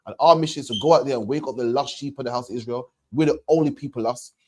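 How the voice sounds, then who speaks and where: A man's voice: mid-range voice, fast, darkish tone. A man teaching about faith to a questioner, emphatic and repetitive, ending phrases with "man". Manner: emphatic, repeats points, ends lines with "man". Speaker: religious teacher. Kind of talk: street preaching to a questioner.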